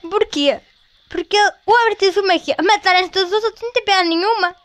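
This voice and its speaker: A woman's voice: very high-pitched, a bit bright, a little hiss or hum. A woman from Portugal heckling and bantering from off camera. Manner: heckling, quick-talking.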